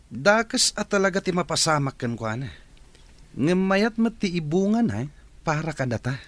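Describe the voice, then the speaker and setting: A man's voice: fairly high pitch, big pitch swings, moderate pace, dry recording. A man playing a scheming, disapproving character in a radio drama, with an Ilocano accent. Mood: disapproving, reassuring.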